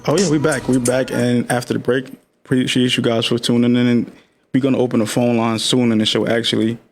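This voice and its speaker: A man co-hosting a talk show, arguing his point fast and heatedly in casual slang. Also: medium pitch, level tone, over music. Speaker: podcast co-host. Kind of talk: casual debate chat.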